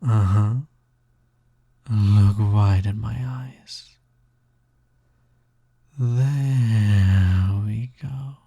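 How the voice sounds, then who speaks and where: A man playing a teasing, smug, touch-starved naga roommate in an intimate audio roleplay, coaxing in a soft, drawling, breathy voice. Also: lowish voice, very slow, pauses often, dry recording, full character voice. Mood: flirty, teasing. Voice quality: breathy, soft, slightly gravelly.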